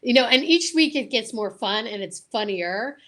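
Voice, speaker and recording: A woman's voice: fairly high pitch, animated, normal speed, fairly bright tone. A woman hosting a show in casual livestream chat, talking in an enthusiastic, gushing way. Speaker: show host.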